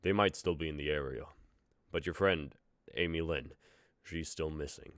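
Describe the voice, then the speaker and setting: A man's voice: very deep voice, normal speed, a bit bright, dry recording, studio quality. A man voicing Dova, a cautious, practical fighter.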